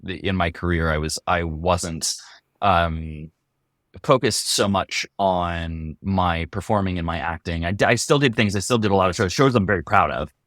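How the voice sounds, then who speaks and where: Deep-voiced man in candid conversation. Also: fairly bright tone, dry recording, studio quality. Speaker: voice actor and comedy performer. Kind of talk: candid interview chat.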